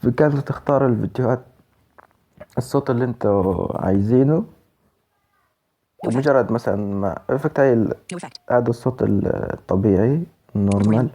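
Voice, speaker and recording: A man's voice: mid-range voice, fairly quick, pauses often, dark tone, breathy edge. A man with a Levantine Arabic accent giving a casual spoken app walkthrough, repeating instructions step by step. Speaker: tutorial presenter.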